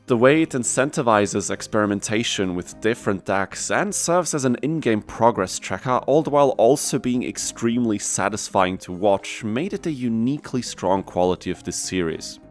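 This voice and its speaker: Low-voiced man narrating, dryly sarcastic, over music. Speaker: video creator and host. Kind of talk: scripted narration of a gaming video.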